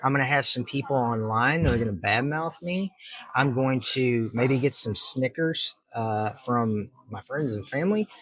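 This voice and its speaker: A middle-aged man with a husky voice at an ordinary pitch. He speaks earnestly and plainly, explaining patiently with plenty of fillers as an interview guest. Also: rough recording. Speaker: district judge, guest. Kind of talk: casual interview answers.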